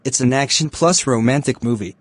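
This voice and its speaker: A man's voice: medium pitch, a little fast, no room sound, lightly acted. Man playing an easygoing cartoon film star, dry sound. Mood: pleasant, courteous. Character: courteous, easygoing young male film star and friend. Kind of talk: scripted English-learning dialogue.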